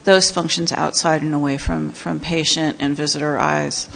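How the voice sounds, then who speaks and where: Soft quiet lady commissioner, slow, bit of vocal fry, echoey council hall. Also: sits low, flat delivery, slight background noise. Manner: soft-spoken, hesitant. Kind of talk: public meeting remarks.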